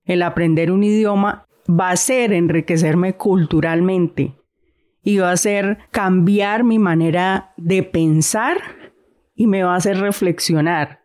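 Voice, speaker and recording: Colombian woman, soft Santander accent, lowish voice, measured and reflective. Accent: Santander Colombian Spanish, softened. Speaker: guest. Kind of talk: casual conversation.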